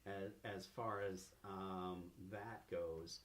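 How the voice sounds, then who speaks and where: A man narrating a casual tabletop game as game master, halting as he improvises his way along. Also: low voice, steady tone, fairly bright tone, echoey room, a little hiss or hum.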